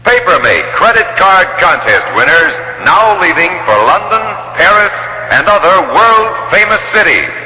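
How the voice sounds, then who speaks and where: A man's voice: high-pitched, big pitch swings, a little slow, pushed and bright. A man making a scripted public-address style announcement in a 1960s radio commercial. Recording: echoey hall reverb, faint noise, vintage radio.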